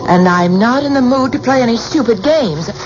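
An actress playing a determined, anxious wife with refined, insistent speech in a 1970s radio drama. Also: female voice, on the high side, lively intonation, fairly quick, music in the background, close to an everyday voice. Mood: uneasy, anxious. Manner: refined, hesitant, then urgently insistent. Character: determined, anxious wife posing as genteel patron. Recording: muffled, noisy 1970s radio broadcast.

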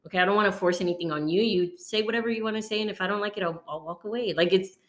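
A woman in her thirties, a guest in a casual chat, talking in a relaxed, rambling way full of fillers. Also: medium pitch, racing. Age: 30s. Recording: roomy echo.

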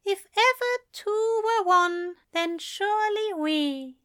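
A woman voicing a soft-spoken, adoring male angel in a read-aloud story, his voice high, smooth and warm. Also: slow, full character voice. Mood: dreamy.

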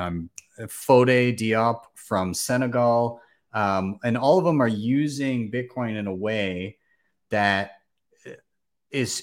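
Low-voiced rambling male podcast host. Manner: rambling, many fillers and restarts. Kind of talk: casual podcast chat.

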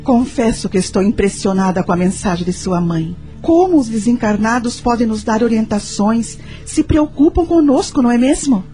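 Actress as mellow, sympathetic woman confidante. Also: a little high, animated, moderate pace, few pauses, soft background music, natural voice. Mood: curious, impressed. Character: Lúcia, sympathetic, curious woman friend and confidante. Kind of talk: radio drama acting.

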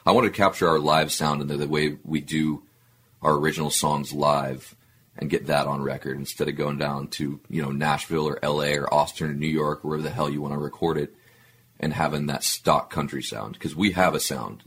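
A man with a baritone voice talking casually in an interview, halting with fillers and restarts. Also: fairly even intonation, fairly bright tone, slight background noise. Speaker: guest musician.

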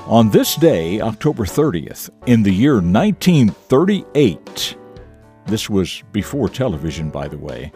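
A man presenting a scripted morning show for young listeners, with emphatic, deliberate phrasing and folksy asides. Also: on the low side, big pitch swings, warm tone, faint music behind. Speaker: radio show and podcast host.

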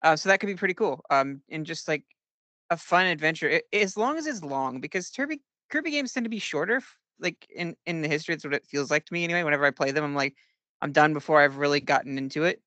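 A man's voice: on the high side, lively intonation, fast talker, sharp tone, no room sound. A man co-hosting a casual podcast chat, talking freely and starting sentences with ums. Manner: chatty, lots of ums. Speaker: podcast co-host.

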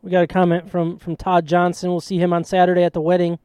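A man hosting a casual sports podcast, chatty and jokey, with a voice he calls high. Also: monotone, fast, darkish tone, smooth, no echo, clean studio sound. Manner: chatty, jokey, self-deprecating. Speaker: podcast host.

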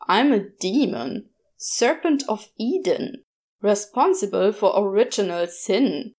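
A woman's voice: medium pitch, lively intonation, slow, a little mellow. A woman voicing a wily, easily vexed male demon who mutters, grumbles and snarls. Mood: grumbling.

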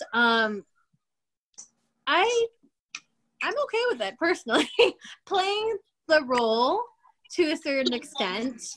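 A woman with a somewhat high voice moderates a relaxed panel. She affirms what the guests say, laughs lightly and moves the talk along. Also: low-quality recording. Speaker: host, moderator. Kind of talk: casual panel chat.

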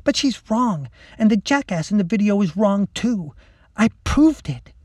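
A narrator voicing a bright, bossy, scheming schoolgirl in an audiobook, excitable and urgent. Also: medium pitch, unhurried, no room sound, clean studio sound, voice pushed up. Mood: insistent.

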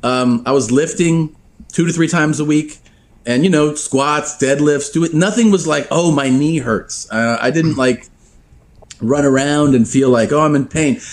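A man telling casual stories as a podcast guest, animated and profane, laughing often. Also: mid-range voice. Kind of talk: casual storytelling.

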